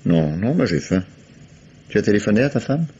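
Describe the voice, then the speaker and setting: Low husky old-radio police chief, calm and dry, dull lo-fi sound. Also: male voice, a little hiss or hum. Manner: measured, dry ironic authority. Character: shrewd calm male police commissioner. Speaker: police commissioner. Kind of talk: 1950s French radio drama acting.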